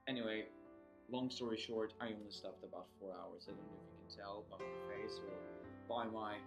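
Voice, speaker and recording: Low-voiced young man rambling in a vlog. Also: fairly even intonation, moderate pace, over loud music. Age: young adult. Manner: rambling, self-correcting. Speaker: vlogger. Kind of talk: casual chat to camera.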